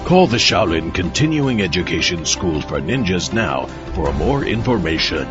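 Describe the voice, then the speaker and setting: A man's voice: sits low, very animated, normal speed, lo-fi sound, over music. A man playing a mock-serious, hard-sell announcer in a parody advert, speaking emphatically.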